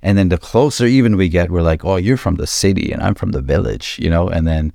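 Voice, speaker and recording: A man's voice: sits low, fast talker, gravelly, dark tone, dry recording, studio quality. A man hosting a casual conversation, asking questions and musing through hypotheticals.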